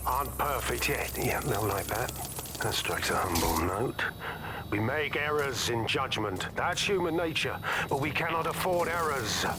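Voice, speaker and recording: A man playing a self-righteous security boss in a video game, practising a speech in a solemn, measured way. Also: medium pitch. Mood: musing, self-assessing. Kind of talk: scripted video game drama. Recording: loud ambient noise over the voice.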